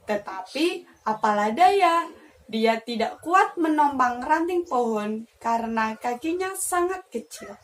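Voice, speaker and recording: A woman's voice: high-pitched, animated, slow pace. A young woman telling a children's story expressively, like a teacher. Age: young adult. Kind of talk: animated children's storytelling with questions.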